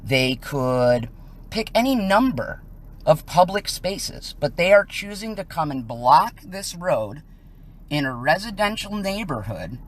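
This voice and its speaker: An American woman giving live on-scene commentary outdoors as a citizen reporter, speaking in an indignant, emphatic way. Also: on the low side. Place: outdoors on a residential street.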